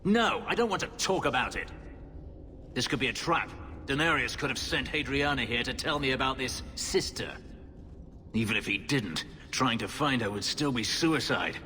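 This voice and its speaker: A man's voice: medium pitch, sharp tone, natural voice. An actor playing a bitter elven male ex-slave warrior in a video game, grave and clipped. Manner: grave, clipped, controlled intensity. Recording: game audio with reverb and music.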